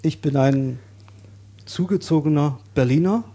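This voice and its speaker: A man from Saxony, a guest on an interview podcast, explaining his views in a thoughtful, measured way. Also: a little high, mellow, husky. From Saxony, Germany.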